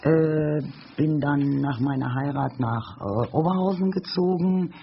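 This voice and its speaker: A woman's voice: low-pitched, measured pace, gravelly, slight background noise. A woman in her forties from Germany, chatting in a studio and telling family anecdotes at length, with lots of hesitation. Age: 40s. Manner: chatty, full of fillers and restarts while telling anecdotes. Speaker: studio guest. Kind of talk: casual storytelling chat. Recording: dull lo-fi radio recording.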